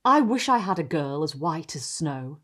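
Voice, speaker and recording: A woman reads as an aristocratic Gothic count, wistful and full of desire. The storybook delivery is solemn and theatrical, with wide swings in pitch. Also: very animated, normal speed. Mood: longing. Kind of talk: storybook character reading.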